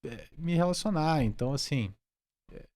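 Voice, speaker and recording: A man's voice: on the high side, expressive, moderate pace, a little mellow. A Brazilian man talking casually and reflectively as a podcast guest, feeling his way through his thoughts. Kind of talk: casual conversational interview.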